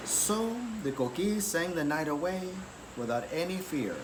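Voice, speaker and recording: Animated man reading a children's story. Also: a little high, a little slow. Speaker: story reader. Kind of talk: children's picture book read-aloud. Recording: clearly noisy background.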